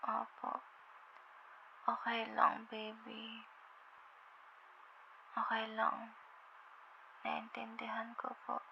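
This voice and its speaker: A woman playing a sleepy, affectionate girlfriend in an intimate ASMR roleplay, with a Filipino accent and a drowsy, halting, tender murmur. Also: fairly high pitch, monotone, heavily acted. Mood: soft, reassuring. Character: sleepy, affectionate young girlfriend on a late-night call. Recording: muffled, with clear background noise.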